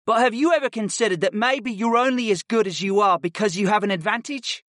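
Casting: arrogant rich boy student man performing, high-pitched, smug drawl, mocking, for fantasy audiobook. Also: fairly quick, fairly bright tone, dry recording, clean studio sound. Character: cocky, sneering pudgy rich boy student. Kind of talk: audiobook character acting.

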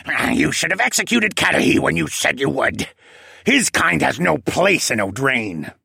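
A man's voice: a little high, sing-song, normal speed, gravelly, pushed and bright, slightly rough, dry recording, studio quality, pitched above the performer's own voice, heavily acted. A man voicing a hateful, fanatical penguin-man assassin in an audiobook, with a squawking, hissing, sneering delivery. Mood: defiant, hateful. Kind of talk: acted audiobook dialogue.

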